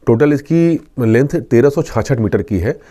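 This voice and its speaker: Mellow-voiced man explaining safety advice. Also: medium pitch, expressive, a little slow. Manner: earnest, instructive, repeats key points. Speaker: railway police inspector being interviewed.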